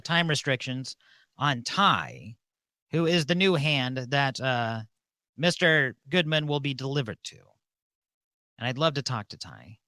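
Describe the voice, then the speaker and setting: A man's voice: mid-range voice, bright, forceful tone, no room sound. A man casually hosting a comedic ceremony on a livestream. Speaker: host of a comedic mock-church livestream.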